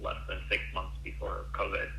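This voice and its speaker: A man in his forties from Ukraine. His voice is lowish and very bright, with a slight edge. He answers a radio interview haltingly, with many ums and restarts, over a faint hum. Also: completely flat delivery, creaky, poor audio. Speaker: interview guest.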